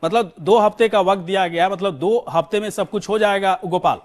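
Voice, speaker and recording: A male television news anchor with a very high-pitched voice delivers live breaking news. He speaks emphatically, repeating key words and names as he presses for updates. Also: brisk.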